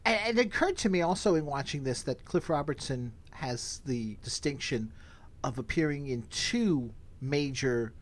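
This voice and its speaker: A man hosts a podcast in a casual, conversational style, recapping and commenting. His voice sits at a medium pitch and moves up and down in a lively, animated way. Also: normal speed. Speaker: podcast host. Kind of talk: casual TV episode discussion.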